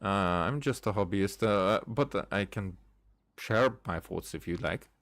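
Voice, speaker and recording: A man's voice: on the low side, expressive, measured pace, fairly bright tone, dry recording. A man hosting a casual game playthrough, commentating and reading dialogue aloud in a hesitant, stumbling way. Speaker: gaming video host.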